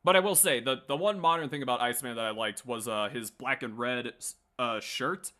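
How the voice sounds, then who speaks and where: A man's voice: medium pitch, brisk, bright, forceful tone. A man giving casual, rambling fan commentary as a livestream host, blunt and prone to swearing. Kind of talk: casual opinionated fan commentary to a live chat.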